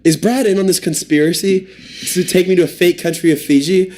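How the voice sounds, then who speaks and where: A young man co-hosting a comedy podcast, telling absurd stories with mock-serious excitement. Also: high-pitched, brisk. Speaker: podcast co-host. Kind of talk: comedic storytelling chat.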